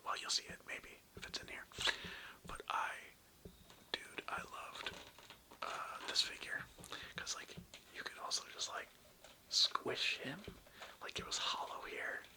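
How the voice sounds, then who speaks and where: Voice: a little slow, long pauses. A young adult ASMR creator whispering breathily in a casual, slangy, rambling way with some swearing. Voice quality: breathy, mostly unvoiced whisper. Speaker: ASMR video creator and host.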